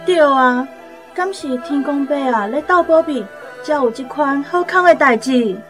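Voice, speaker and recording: An actress playing an excitable, outspoken village woman in a radio drama, with a Taiwanese accent. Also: high-pitched, measured pace, over music. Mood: delighted.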